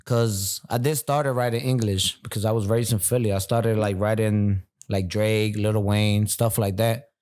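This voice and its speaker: Man, young adult, relaxed slangy talk, low voice. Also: measured pace, few pauses. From Puerto Rico, raised in Philadelphia. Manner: laid-back street slang. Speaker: guest rapper. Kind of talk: casual chat. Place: bar.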